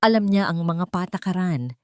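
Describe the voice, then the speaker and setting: A woman's voice: fairly low pitch, animated, normal speed, smooth, no echo, close to an everyday voice. A stern, haunted millionaire father from an audio drama, acted out by a woman narrator. He speaks firmly in clipped phrases, guarded and protective. The recording is dry and close. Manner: firm, clipped.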